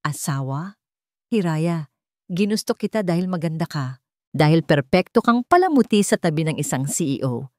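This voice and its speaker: A woman's voice: deep voice, lively intonation, normal speed, a little mellow, dry recording, clean studio sound. A woman voicing a cold, contemptuous, controlling husband in a dramatic story, with heavy, scornful delivery. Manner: heavy, emotionless, scornful words.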